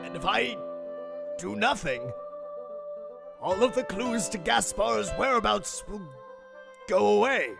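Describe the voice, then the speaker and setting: A man voicing an excitable, melodramatic evil inventor in a comedy audio drama, declaiming in an overwrought way and prone to shouting. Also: slow, long pauses, over music, full character voice. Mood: conflicted, earnest. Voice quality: high-pitched, creaky, sharp.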